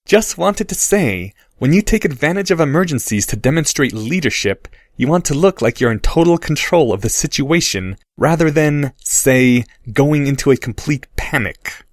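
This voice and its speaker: A man voicing a haughty boy wizard who talks in a slow, sneering drawl. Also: fairly high pitch, lively intonation, no echo, studio quality, voice pushed up. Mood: smug.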